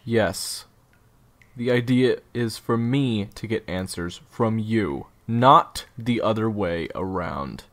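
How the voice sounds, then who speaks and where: An actor playing a guarded, short-tempered leader of assassins in an audio drama, terse and weary. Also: male voice, fairly low pitch, takes it slowly, a bit bright. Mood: guarded, irritated.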